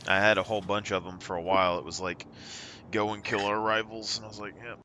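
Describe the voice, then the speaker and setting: A man's voice: lowish voice, flat delivery, quick pace, bright, forceful tone. A man streaming a game, chatting casually with a friend in an easygoing, rambling way. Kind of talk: casual gaming chat. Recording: noisy game audio behind. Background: game sounds and ship ambience.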